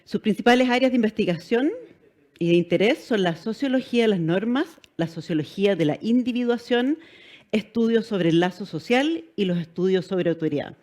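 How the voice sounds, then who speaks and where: A middle-aged woman with a Chilean accent, moderating a panel. She reads guest introductions in a measured way, with frequent "eh" fillers and small stumbles over names. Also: mid-range voice, darkish tone.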